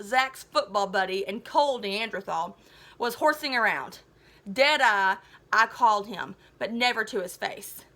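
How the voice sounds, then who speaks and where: High, bright, enthusiastic woman teacher reading aloud. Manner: chatty, enthusiastic, animated. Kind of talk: expressive children's story read-aloud with casual commentary.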